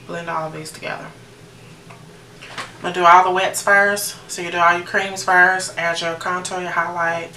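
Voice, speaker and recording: Low-voiced American woman, roomy distant recording. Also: gravelly, bright, forceful tone. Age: late 30s. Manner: chatty, informal, addressing viewers directly. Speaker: beauty vlogger. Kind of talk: casual chatty makeup tutorial. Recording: roomy, distant mic with noticeable background noise.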